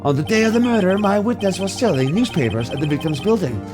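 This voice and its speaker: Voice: mid-range voice, lively intonation, fast, music in the background, lightly acted. A performer voicing a smug, formal middle-aged male prosecutor with a pointed manner in a video game reading.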